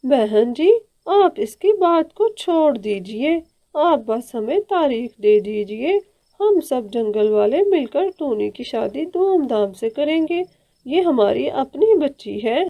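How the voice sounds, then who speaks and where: Woman playing a high-pitched, bossy aunt bird. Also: big pitch swings, takes it slowly, narrow, tinny audio, exaggerated voice. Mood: firm, reassuring. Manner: scolding, emphatic, forthright. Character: bossy, protective elder aunt bird, outspoken and warm-hearted. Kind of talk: Hindi children's cartoon dialogue.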